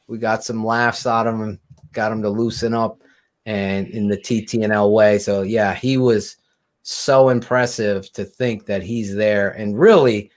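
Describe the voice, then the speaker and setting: A man's voice: mid-range voice, a little mellow. A man co-hosting a casual sports talk show, telling stories animatedly and laughing often. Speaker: podcast co-host.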